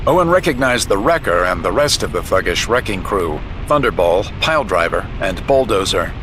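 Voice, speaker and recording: A man's voice: low-pitched, animated, normal speed, noisy background. A man giving dramatic scripted narration of a story as its narrator.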